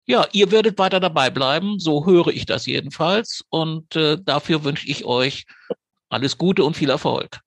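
A man's voice: a little high, moderate pace, a bit bright. A man hosting a podcast in an informal moderating style. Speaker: podcast host.

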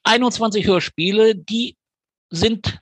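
A man's voice: sits high, takes it slowly, a bit bright, husky. A man hosting a podcast, speaking conversationally as interviewer and announcer, in chatty, wordy phrasing.

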